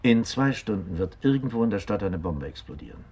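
A man's voice: low voice, big pitch swings, brisk, darkish tone, slightly rough, dull audio, exaggerated voice. An actor playing an urgent but courteous male police captain in a 1970s German radio crime drama. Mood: urgent, insistent. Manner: polite but insistent questioning.

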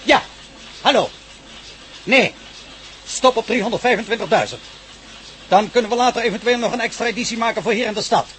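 An actor playing a brusque, money-minded newspaper boss in a radio play, brisk and dismissive. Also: male voice, extremely high pitch, a bit bright. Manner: brisk, dismissive, barking orders. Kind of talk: radio drama acting. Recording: noisy radio play recording.

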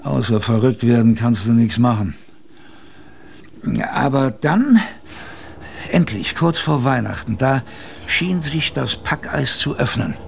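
A man playing a plainspoken expedition survivor, telling his story in a wry, colloquial, gravelly radio drama narration. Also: mid-range voice, lively intonation, slow, long pauses, a little hiss or hum. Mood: weary, reflective. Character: plainspoken male polar expedition survivor recalling hardship, wry.

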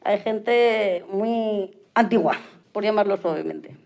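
A woman's voice: mid-range voice, slow pace, creaky, a little mellow. A woman with a Spain accent answering interview questions casually, in a plain, matter-of-fact way. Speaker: interview guest, adaptive sports athlete. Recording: slightly dull lo-fi audio.

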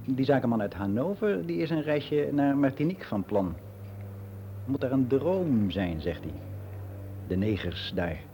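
A man's voice: medium pitch, very animated, unhurried, warm tone, a little hiss or hum. An actor playing a world-weary middle-aged man who rambles in a frank, confiding way in a radio play monologue. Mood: gossipy. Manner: rambling, confiding, matter-of-fact.

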